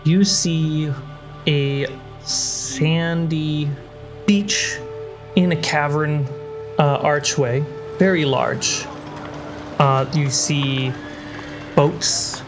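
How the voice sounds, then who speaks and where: A man narrating a tabletop role-playing game as game master, describing scenes in short phrases with pauses. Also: on the high side, steady tone. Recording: prominent music bed behind voice. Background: background music score.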